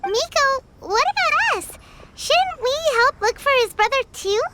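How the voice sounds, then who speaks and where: Tiny perky fairy kid for a game, super squeaky, really noisy recording. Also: female voice, very animated, a little slow, full character voice. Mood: curious, flustered. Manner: perky, exclamatory, folksy. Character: excitable squeaky small childlike female fairy companion. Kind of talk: video game voice acting.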